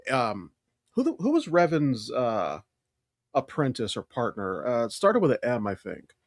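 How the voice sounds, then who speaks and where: A man's voice: mid-range voice, huge pitch swings, unhurried, no echo, studio quality. A man co-hosting a podcast, talking casually and emphatically like an opinionated, enthusiastic fan explaining things. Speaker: podcast co-host.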